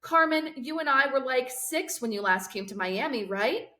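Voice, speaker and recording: A woman voicing a lively, officious teenage girl in a storybook, announcing things in a chirpy, formal way. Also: high voice, normal speed, pitched above the performer's own voice. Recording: roomy, echoing sound.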